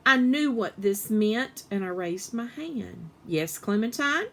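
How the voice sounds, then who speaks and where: A woman reading a children's story aloud in an animated, expressive way, with emphasis on the dialogue. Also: medium pitch, slow pace, creaky, slight background noise.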